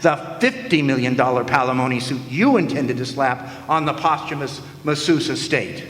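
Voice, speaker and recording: A man's voice: mid-range voice, lively intonation, moderate pace, a bit bright, natural voice. An actor in a live radio play playing a wisecracking hardboiled male private eye who narrates in a dry, sardonic way. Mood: wry. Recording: echoey hall with faint noise.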